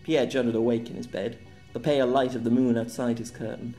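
A man narrating his own story as an audiobook, in a steady, measured voice. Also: medium pitch. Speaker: author and narrator. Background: faint music.

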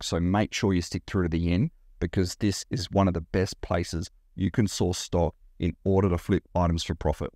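Blunt Australian man, low voice. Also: no room sound, studio quality. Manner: blunt, animated storytelling. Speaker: reseller vlogger. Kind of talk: direct-to-camera vlog talk. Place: indoor room by large window.

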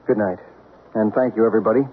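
An actor playing a calm ghost investigator in a 1970s radio drama, with a gravelly, husky voice. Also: male voice, lowish voice, fast talker. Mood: measured, wry. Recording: tinny band-limited 1970s radio recording.

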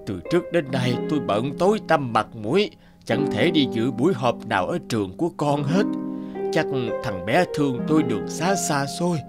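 A man playing a kind, devoted elderly countryman father in a cartoon, speaking gently and earnestly. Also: medium pitch, animated, moderate pace, lightly acted. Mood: wistful. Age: older. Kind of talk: animated cartoon dialogue. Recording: music behind the voice.

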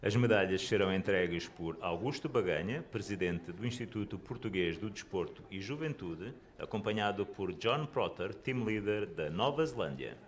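Low-pitched formal male announcer. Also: expressive, fairly quick, hardly any pauses. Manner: formal, projecting. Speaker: venue announcer.

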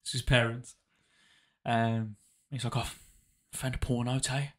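A man's voice: medium pitch, flat delivery, a little slow. A young man, co-hosting a casual podcast in a small home studio, teasing and cheeky. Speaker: podcast co-host. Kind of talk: casual chat. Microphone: studio mic on boom arm, headphones.

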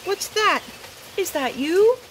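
Woman as a high, eager little storybook girl. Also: lively intonation, slow pace, exaggerated voice. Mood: pleading. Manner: eager, exclaiming. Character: bold, curious little girl at play. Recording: rain noise behind the voice.

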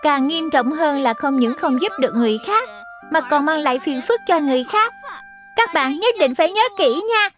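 A small, kindly cartoon guide. The voice is extremely high and childlike, with an instructive delivery over soft music. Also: female voice, animated, moderate pace. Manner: didactic, childlike. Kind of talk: dubbed children's cartoon acting. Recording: music behind the voice.

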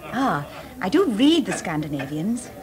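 An earnest young painter. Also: female voice, medium pitch, big pitch swings, unhurried. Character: young woman painter, shy but frank. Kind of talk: 1980s radio drama. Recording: party crowd behind.

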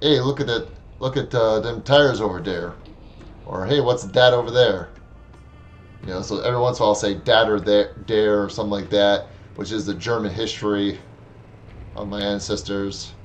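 A man's voice: lowish voice, steady tone, moderate pace, pauses often, highs cut off, a little hiss or hum. Chatty Wisconsin man streaming a game. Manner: chatty, wry, talks back to the game. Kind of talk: casual game stream commentary. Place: small room at home. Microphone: headset.

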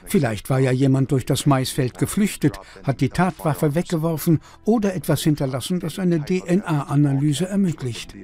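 Higher-voiced male investigator played by an actor. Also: moderate pace, hardly any pauses, dark tone, natural voice. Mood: matter-of-fact. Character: male American investigator recalling a search. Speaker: investigator in documentary. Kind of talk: dubbed documentary interview.

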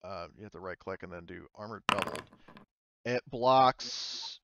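A man's voice: low voice, measured pace, background noise. A man running an online tabletop game as game master, talking casually and hesitantly as he thinks aloud.

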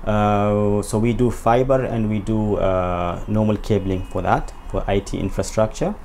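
A man in his thirties from Zanzibar, answering interview questions and explaining in a halting, pausing way. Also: on the low side, steady tone, darkish tone. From Zanzibar, Tanzania. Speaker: interview guest, company owner. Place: small indoor office, seated at a desk. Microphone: lapel. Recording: clear background noise, room ambience.

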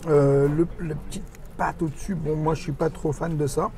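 A man's voice: a little high, steady tone, moderate pace. A man chatting casually as he tastes food for a vlog. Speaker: vlog companion tasting food. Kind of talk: casual chat. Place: busy restaurant at a theme park. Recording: restaurant crowd chatter behind.

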